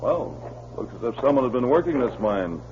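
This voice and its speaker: Casting: male actor as authoritative radio Mountie, mid-pitched, crisp, expressive, shrewd and in charge. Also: normal speed, close to an everyday voice. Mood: firm, wry. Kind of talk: 1940s radio acting. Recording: muffled, noisy old radio recording.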